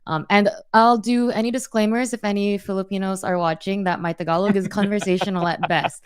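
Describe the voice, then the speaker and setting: A woman's voice: medium pitch, fairly even intonation, a little fast, clear, resonant tone, dry recording. A woman co-hosting a casual livestream demo over a video call, talking in a friendly, easygoing way. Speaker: co-host.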